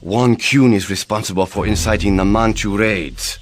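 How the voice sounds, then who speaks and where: An actor playing a stern, authoritative Korean prime minister in a dramatic video game cutscene. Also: male voice, lowish voice, moderate pace, a little hiss or hum, natural voice. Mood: grave. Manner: authoritative, formal, solemn. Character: stern, commanding Korean prime minister of war-torn kingdom. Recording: game audio with music underneath.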